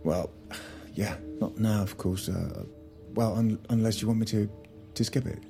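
An actor playing an earnest, frustrated husband, speaking in a strained, halting, naturalistic way in an audio drama. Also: male voice, lowish voice, vocal fry, music in the background. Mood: awkward, conciliatory.

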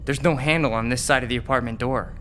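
An actor playing a wisecracking, irritable young boyfriend trapped in an apartment, in an audio horror drama. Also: male voice, medium pitch, fairly even intonation, fast, fairly bright tone, slight background noise, close to an everyday voice. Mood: uneasy, resigned. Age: young adult. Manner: wisecracking, grumbling.

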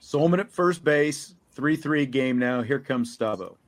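A man's voice: mid-range voice, lively intonation, a little slow. A man speaking as a sports show host, asking questions in a conversational, unscripted way.

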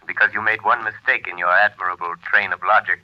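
An actor playing a calm, commanding invisible avenger in measured, grave 1930s radio drama style, through a telephone-like filter. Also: male voice, lowish voice. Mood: ominous, assured. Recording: muffled vintage 1930s radio recording.

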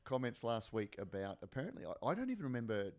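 A man hosting a podcast in casual chat, his voice a bit hoarse, often stumbling and repeating words. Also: medium pitch, sing-song. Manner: halting, repeats words and fills with "uh". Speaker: podcast host.

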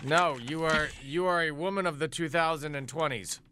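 A man hosting a morning radio show, teasing his co-host in a jokey, quick-to-laugh manner. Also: on the high side, noisy background. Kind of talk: casual radio banter. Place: radio studio.

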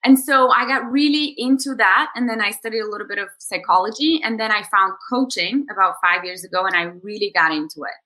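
A woman's voice: mid-range voice, fairly quick, hardly any pauses, pushed and bright, rough recording. A woman from Bulgaria in her thirties, a coach talking through her story as a podcast guest in a reflective way, with many fillers and restarts. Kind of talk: podcast interview conversation.

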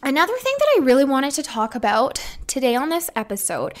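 A woman's voice: high-pitched, wildly swooping pitch, a little fast. A young woman hosting a solo podcast, talking casually in an upbeat, rambling way with a slightly congested voice. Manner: chatty and upbeat, rambling with restarts. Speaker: podcast host.

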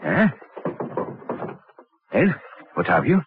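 A pompous, middle-aged professor of anatomy in a vintage radio drama, on a tinny old recording. His husky voice swoops dramatically up and down. He hesitates fussily, then turns imperious and evasive. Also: medium pitch, huge pitch swings, drawn-out words, soft background music, full character voice. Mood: furtive, shrewd.

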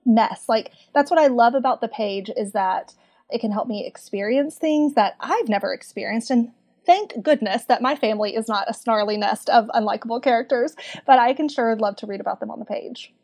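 A woman's voice: fairly high pitch, fairly quick, darkish tone. A woman with a Southern US accent, chatting as a podcast guest and laughing often. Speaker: podcast guest, book club community manager. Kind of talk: casual chat.

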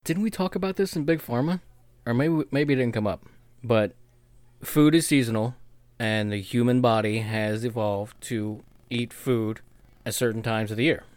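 A man's voice: mid-range voice, moderate pace, a little mellow, no room sound. A man co-hosting a podcast in a casual chat, cracking wry jokes. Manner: joking, wry asides. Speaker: podcast co-host.

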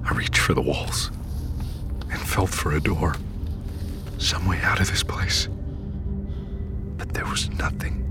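Male lead narrator, audio fiction: bass-deep, slow, despairing, weary, often half-whispered. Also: fairly even intonation, over music. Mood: bleak, haunted. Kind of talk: dramatic first-person audio fiction narration.

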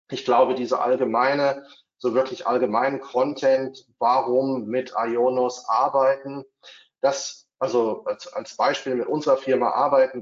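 A bilingual man giving a webinar talk, hesitant, with many fillers and restarts. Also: medium pitch. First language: bilingual, not only German. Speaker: webinar speaker on developer marketing. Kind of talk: webinar presentation. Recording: roomy, dull lo-fi webinar audio.

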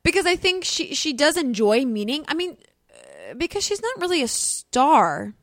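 A woman's voice: high voice, moderate pace, no room sound, clean studio sound. A woman co-hosting a podcast, chatting and gossiping casually about celebrity news. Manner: chatty, gossipy, animated asides. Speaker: podcast co-host. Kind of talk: casual chat.

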